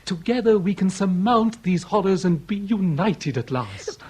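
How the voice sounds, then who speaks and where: An actor playing an ardent, jealous aristocratic suitor, impassioned and ornate, in melodramatic period radio drama. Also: male voice, high-pitched, lively intonation, a little slow, a little mellow, breathy edge, heavily acted. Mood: ardent, urgent.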